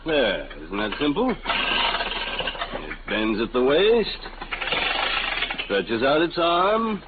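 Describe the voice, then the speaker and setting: Excitable, flustered middle-aged salesman husband. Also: medium pitch, drawn-out words, phone-quality sound, heavily acted. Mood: flustered, exasperated. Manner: exasperated, blustering. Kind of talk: 1950s radio acting. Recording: thin, noisy 1950 radio recording.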